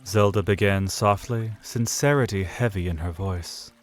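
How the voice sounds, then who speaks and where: A man with a lowish voice narrates an audio drama in a measured storytelling style. Faint music plays beneath his narration. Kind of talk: scripted narration.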